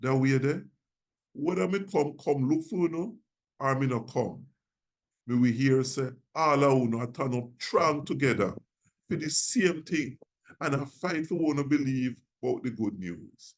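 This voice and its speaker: A man's voice: medium pitch, unhurried. A reverend with a Jamaican accent, a man reading scripture aloud in Patois. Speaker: reverend, guest scripture reader.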